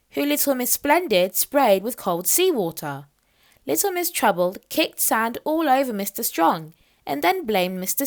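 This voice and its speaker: A woman reading a children's picture book aloud as an expressive storyteller. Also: medium pitch, no echo, studio quality.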